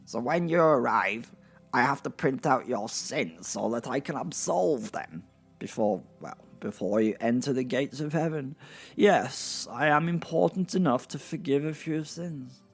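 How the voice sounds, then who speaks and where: Man acting a fussy heavenly clerk, gravelly. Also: medium pitch, animated, measured pace, heavily acted. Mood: officious, fussy. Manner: hesitant, stammering, self-important. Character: nervous, officious, fussy male heavenly gate attendant. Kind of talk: monologue in comic fantasy audio drama. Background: music.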